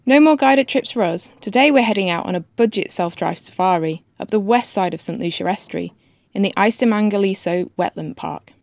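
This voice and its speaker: A woman's voice: medium pitch, brisk, gravelly, darkish tone. English woman narrating a travel vlog. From England. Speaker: sailing vlogger. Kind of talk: casual vlog talk and voice-over narration.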